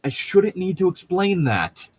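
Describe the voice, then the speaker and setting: A man chatting casually as a co-host, critiquing in an exasperated, rambling way with halting rephrasing. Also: high-pitched, very animated, husky, no room sound. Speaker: co-host reading and mocking a novel. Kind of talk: casual critical chat with read-aloud lines.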